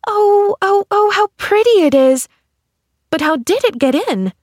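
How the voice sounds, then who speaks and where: Woman acting a gushing, high-pitched eager little girl. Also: measured pace, no room sound, clean studio sound. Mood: delighted awe. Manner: gushing, exclamatory, breathless wonder. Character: eager, cheerful, affectionate young orphan girl. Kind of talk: storybook character acting.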